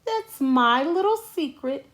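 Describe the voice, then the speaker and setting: A woman plays a kindly storybook schoolteacher, sweet and a little sly. Her voice is high and lilting, with gentle, unhurried delivery. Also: animated. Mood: sweet, coy. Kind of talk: children's storybook read-aloud.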